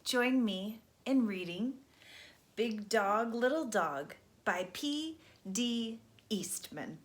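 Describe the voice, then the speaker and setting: A young adult woman, host of a storytime channel, reading a children's book aloud slowly and gently. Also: fairly high pitch, expressive. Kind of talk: children's read-aloud narration.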